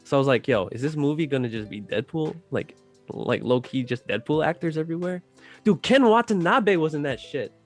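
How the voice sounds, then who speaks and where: A man's voice: a little high, expressive, fairly quick. A man chatting casually in loose slang, swearing freely as he gives his opinions. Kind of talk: casual chat about video game movies. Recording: music playing behind the voice. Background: music.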